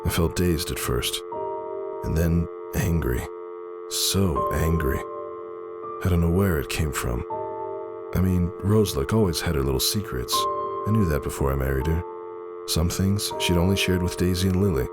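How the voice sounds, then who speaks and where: A man's voice: sits low, normal speed, pauses often, loud music behind the voice. A man playing a brooding, anxious stallion husband, telling his tale in a somber, dramatic audiobook style. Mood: troubled, brooding. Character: brooding male pony husband, anxious and suspicious.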